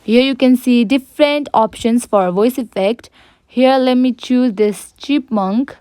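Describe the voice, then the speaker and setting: Higher-voiced woman giving a tutorial, dry sound. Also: measured pace, mellow, smooth, dry recording. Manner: simple, instructional, addressing viewers directly. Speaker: tutorial presenter. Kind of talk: step-by-step app tutorial narration.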